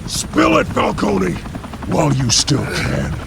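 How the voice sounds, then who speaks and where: An actor playing a grim, relentless masked vigilante in a video game, growling terse threats in a gravelly voice. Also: male voice, high voice, huge pitch swings, slow pace, full character voice. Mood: threatening. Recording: very loud action noise over the voice.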